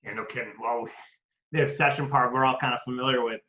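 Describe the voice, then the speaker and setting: A man's voice: medium pitch, steady tone, fast, pushed and bright. A man hosting an interview, asking friendly, loosely worded questions in a casual way. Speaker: interview host. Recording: echoey, dull lo-fi sound.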